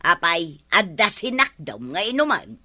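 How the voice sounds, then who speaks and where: An actress playing a long-suffering, sharp-tongued village wife in an Ilocano radio comedy, nagging and sarcastic, with an Ilocano accent. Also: female voice, lowish voice, sing-song, measured pace, exaggerated voice.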